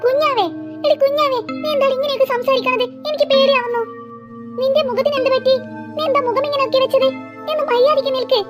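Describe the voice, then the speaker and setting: A woman voices a jumpy young girl with an extremely high, squeaky voice. She pleads insistently and tends to stammer, in the manner of a comic horror cartoon. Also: steady tone, quick pace, over music. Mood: uneasy. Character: easily frightened, insistent young girl pleading with her mother. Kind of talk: comic horror skit acting.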